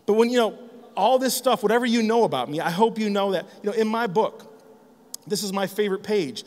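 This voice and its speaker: A man in his forties from northern Michigan giving a loud, profane, joking conference talk on stage, teasing the audience as he goes. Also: high voice. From northern Michigan, United States. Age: 40s. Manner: brash, joking, swears freely, chides the crowd. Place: large conference hall stage. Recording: long hall reverb.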